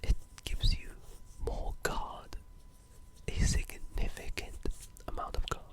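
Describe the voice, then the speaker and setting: A breathy, unvoiced whisper in a casual, rambling ASMR video game discussion, with an enthusiastic gamer's way of talking. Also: slow.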